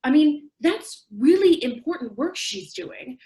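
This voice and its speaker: An actress playing an earnest, defensive zoo worker in a staged play reading, explaining herself carefully. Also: female voice, high-pitched, expressive, unhurried, mellow. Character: earnest, defensive woman zoo employee backing her partner. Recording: echoey room.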